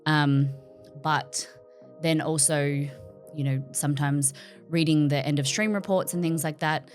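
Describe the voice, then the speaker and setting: Low-voiced Australian woman, chatty. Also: fairly even intonation, a little slow, soft background music. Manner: chatty, articulates words carefully. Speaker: cooking streamer guest. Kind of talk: casual interview chat.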